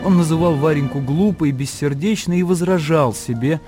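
Male radio-drama narrator over music. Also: on the high side. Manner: measured, expressive storytelling.

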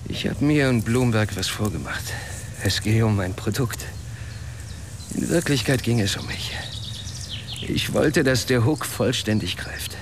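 An actor playing a proud, cynical, paralysed inventor in a German radio drama, with cutting sardonic delivery in standard German. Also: male voice, lowish voice, measured pace, background noise. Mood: confessional, bitter.

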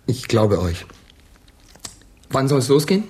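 A man's voice: mid-range voice, very animated, slow, darkish tone. A man playing a confused, stubborn astronaut in a German radio drama, with an emphatic, incredulous way of talking. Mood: resigned. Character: confused, stubborn male astronaut with lost memory.

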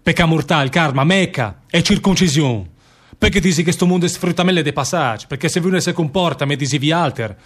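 Actor as defiant condemned worker with Lombard accent. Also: male voice, on the high side, a little fast, close to an everyday voice. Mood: bitter, accusing. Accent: northern Italian, Lombard. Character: condemned Italian anarchist emigrant worker, defiant, bitter, weary. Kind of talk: stage-style radio drama monologue in dialect.

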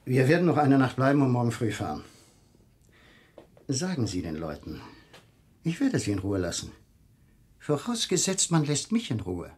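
Radio crime drama: agitated husband, mid-pitched but very animated, challenging, suspicious, won't back down. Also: male voice, normal speed, long pauses. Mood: curt, defiant. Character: agitated, stubborn married man convinced of a cover-up.

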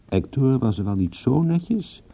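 Husky, gravelly private detective. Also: male voice, medium pitch, sing-song, slow, exaggerated voice. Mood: tense. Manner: musing aloud, self-questioning. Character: male private detective narrating a murder case, brooding, wry. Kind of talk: radio drama first-person narration. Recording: muffled lo-fi old radio recording.

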